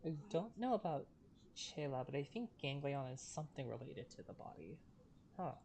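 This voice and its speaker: A man's voice: on the high side, sing-song, moderate pace, gravelly, a little mellow, slight background noise. A man streaming a video game, making casual offhand comments as he plays.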